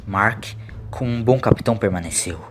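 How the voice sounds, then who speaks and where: A man's voice: fairly low pitch, normal speed, over music. A man narrating a scripted audio story in a dramatic storytelling style.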